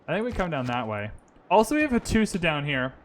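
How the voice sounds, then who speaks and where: A man's voice: a little high, very animated, fast talker. A man streaming a strategy game, thinking his moves aloud and chatting casually with his viewers. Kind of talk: casual gaming commentary. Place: lives in Vancouver.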